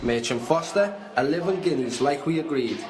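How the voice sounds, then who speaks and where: A man's voice: fairly high pitch, animated, normal speed, crowd noise, close to an everyday voice. A man playing a formal, conscientious 17th-century English builder and slave owner who is troubled, in a radio drama with reflective narration. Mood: firm. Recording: music bed behind the voice.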